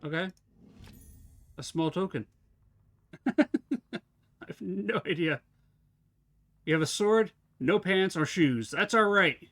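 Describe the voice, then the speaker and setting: A man's voice: high voice, expressive, takes it slowly, long pauses, fairly bright tone. A man streaming a puzzle game live, chatting casually and thinking aloud as he looks around. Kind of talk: casual live gameplay commentary.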